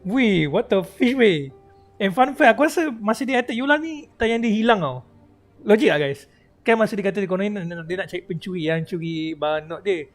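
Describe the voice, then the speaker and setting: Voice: mid-range voice, lively intonation, quick pace, faint music behind. A Malaysian livestreamer, a native Malay speaker, giving casual, chatty game commentary and mixing in English.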